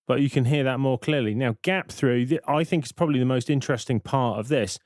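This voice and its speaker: A man narrating a software tutorial in an informal, explanatory way, thinking aloud as he goes. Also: mid-range voice, a little fast, no echo, studio quality, soft background music. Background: synth and vocoder demo sounds.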